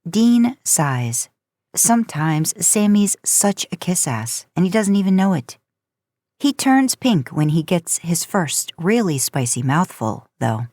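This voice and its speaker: A woman narrating a story aloud in a casual, expressive way. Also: on the low side, no echo, studio quality. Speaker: podcast host narrating fan fiction.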